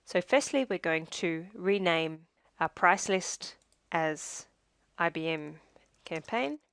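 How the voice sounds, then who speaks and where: A woman narrating a scripted software tutorial as a demonstrator, in a measured, step-by-step instructional way. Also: fairly low pitch, animated, no room sound, clean studio sound.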